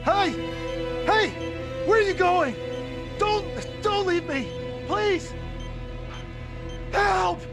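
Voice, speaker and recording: An actor playing a terrified judge held captive in a crime thriller game, frantic and pleading. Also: male voice, very high-pitched, drawn-out words, creaky, pauses often, over music, exaggerated voice. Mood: panicked. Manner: panicked, pleading.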